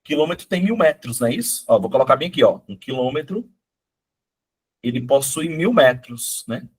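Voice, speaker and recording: Husky-voiced middle-aged guy from ceará teaching math online, hoarse from a cold, keeps asking "né? tá?". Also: medium pitch, expressive, moderate pace, a little mellow. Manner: chatty, coaxing, constant "né?" and "tá?" checks. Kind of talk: live online exam-prep class.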